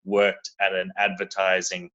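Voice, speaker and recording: Low-voiced Australian man on a lo-fi line. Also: monotone, measured pace, pushed and bright. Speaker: guest. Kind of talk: casual interview talk.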